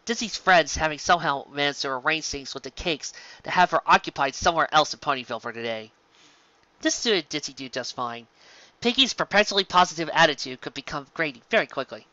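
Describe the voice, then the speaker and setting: A narrator reading a scripted story aloud in an animated storytelling style, on a slightly dull home recording. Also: mid-range voice, fairly quick, no room sound.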